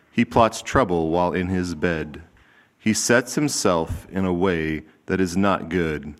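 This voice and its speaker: Slow, low-voiced man reading scripture. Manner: measured, deliberate reading. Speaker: scripture reader.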